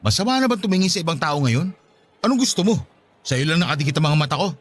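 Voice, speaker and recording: An actor playing an exasperated young man in a Tagalog radio drama, expressive and sarcastic as he argues with a partner. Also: fairly high pitch, quick pace, breathy edge. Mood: exasperated, indignant.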